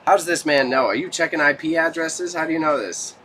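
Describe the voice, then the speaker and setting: A man's voice: a little high, brisk, bright, forceful tone, a little hiss or hum. A Canadian man chatting casually with viewers as a livestream host, with slangy, joking banter. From Toronto, Canada. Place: small warehouse space stacked with goods.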